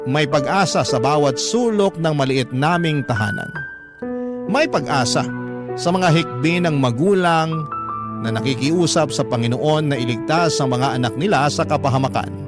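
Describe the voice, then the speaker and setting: A man playing a hopeful, devoted Filipino son who narrates his life story in a solemn, sentimental scripted style. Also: a little high. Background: music.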